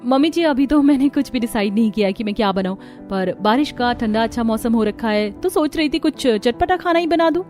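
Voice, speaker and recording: A woman's voice: fairly high pitch, expressive, fairly quick, hardly any pauses, darkish tone, soft background music, lightly acted. A woman voicing a put-upon young daughter-in-law in a family cartoon, with a wry, complaining manner. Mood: hesitant.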